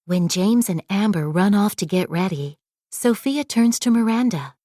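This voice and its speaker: A woman reading a children's story aloud as a scripted, expressive narration. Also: mid-range voice, moderate pace, dry recording, clean studio sound. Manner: expressive read-aloud delivery.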